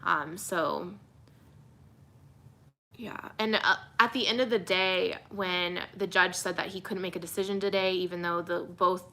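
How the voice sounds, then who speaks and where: A young woman TV reporter, relaxed and soothing, answering viewer questions in a casual livestream with many fillers. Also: fairly low pitch, measured pace. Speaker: TV news reporter.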